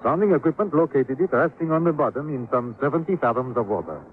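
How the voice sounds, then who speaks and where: An actor playing a courteous, formal and evasive police commissioner with a French accent in a 1950s radio drama. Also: male voice, medium pitch, animated, quick pace, lightly acted. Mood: official, factual. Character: suave, formal male police commissioner in Beirut. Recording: tinny, slightly noisy 1950s radio recording.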